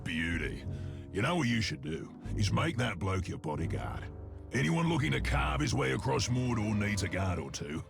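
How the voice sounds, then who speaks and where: Cocky, gravelly orc sidekick. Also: male voice, fairly low pitch, big pitch swings, normal speed, music in the background, full character voice. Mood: chummy boastful. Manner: slangy, chummy, boastful. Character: cocky, crude, bloodthirsty orc henchman, jokey sidekick. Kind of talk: video game character acting.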